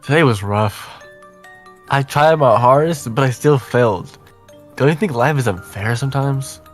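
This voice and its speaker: A man's voice: medium pitch, a little slow, soft background music, lightly acted. A man voicing a chatty, affectionate young female letter writer in a visual novel, conversational and self-deprecating. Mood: wistful, fond.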